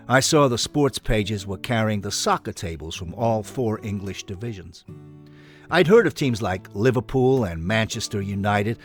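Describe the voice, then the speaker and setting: A man playing an older American businessman and sincere football fan, telling his audio story in a measured, plain-spoken way with an American accent. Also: lowish voice, voice pushed down, close to an everyday voice. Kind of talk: scripted audio story monologue.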